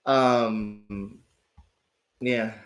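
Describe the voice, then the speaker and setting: A man with a raspy, sick voice, chatting casually as host of his livestream, rambling and swearing with lots of restarts. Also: lowish voice, fairly even intonation, extremely slow. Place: at home.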